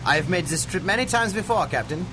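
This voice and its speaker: A haughty German doctor from a pulp radio adventure. The voice sits high and leaps about with a German accent, pompous and formal, halting when uneasy. A heavy vehicle drone runs behind it. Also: male voice, animated, noisy background. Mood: haughty, suspicious. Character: haughty German villain doctor, excitable and suspicious. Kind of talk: 1930s-set radio adventure acting.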